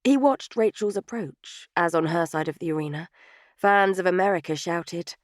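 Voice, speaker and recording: Female audiobook narrator: slightly low voice, scripted storytelling, close and dry studio sound. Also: normal speed, no room sound. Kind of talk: scripted third-person fiction narration.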